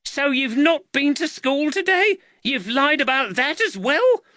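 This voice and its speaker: An exasperated, angry mother from a storybook, played by a man. The voice is shrill and pitched high, scolding with heavy emphasis and wide swings. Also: sing-song, a little slow, bright, forceful tone, no echo, studio quality, exaggerated voice. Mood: shrieking, scolding.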